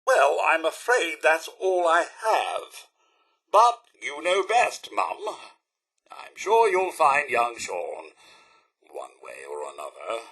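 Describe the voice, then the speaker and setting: An actor playing a prim, loyal and fussy robot butler in a video game, with a British accent and pompous, exaggeratedly polite diction. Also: male voice, a little high, wildly swooping pitch, slow, a bit bright, husky, full character voice. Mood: gently concerned. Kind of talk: theatrical video game character acting.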